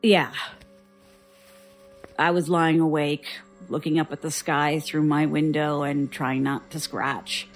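An actress playing a weary, guarded survivor giving dry, reluctant testimony in an audio drama. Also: female voice, sits low, level tone, slow pace, long pauses, faint music behind. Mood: uneasy, reflective. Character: guarded, weary woman survivor recounting childhood strangeness.

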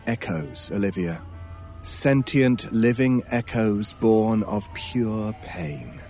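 An actor playing a world-weary, haunted British stranger in an audio horror drama, speaking in a wry, brooding way with dramatic pauses. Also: male voice, on the low side, mellow, faint music behind. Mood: grave, brooding.

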